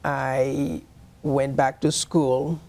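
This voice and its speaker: A middle-aged woman from Haiti, a nurse practitioner, speaking casually and a little haltingly as an interview guest. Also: extremely low pitch, flat delivery, darkish tone. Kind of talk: casual personal storytelling in an interview.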